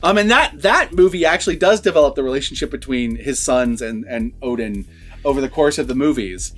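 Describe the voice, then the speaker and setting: A man's voice: medium pitch, lively intonation, a little fast, soft background music. A man chatting casually as a movie reviewer, conversational and sweary, repeating words as he makes his points. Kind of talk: casual film review chat.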